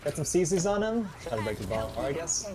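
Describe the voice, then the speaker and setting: A man's voice: fairly high pitch, very animated, fairly quick, crowd noise. A young man chatting casually as a co-op player during a video game. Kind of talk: casual gaming chat. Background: game music.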